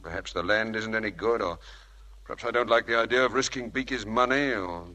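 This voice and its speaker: An actor playing a brusque, evasive husband in 1940s radio acting style, on a vintage broadcast recording. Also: male voice, fairly low pitch, fairly quick, bright, forceful tone. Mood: casual, evasive. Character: brusque, evasive, domineering husband with a shady scheme.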